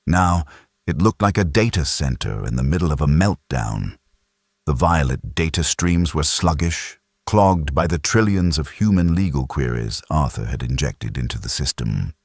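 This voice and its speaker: A man with an extremely low voice narrates a sci-fi audiobook. The delivery is measured and dramatic, recorded close and dry with no room sound. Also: expressive, slightly rough, dry recording.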